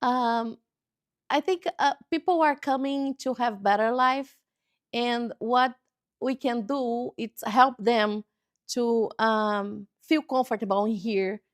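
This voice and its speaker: A woman in her forties from Brazil, whose first language is Portuguese, speaking English with a Brazilian accent in a TV interview and pausing often to find words. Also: a little high, no room sound, studio quality. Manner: halting, searching for words, cheerful. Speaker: guest author. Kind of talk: casual interview chat. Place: local TV studio.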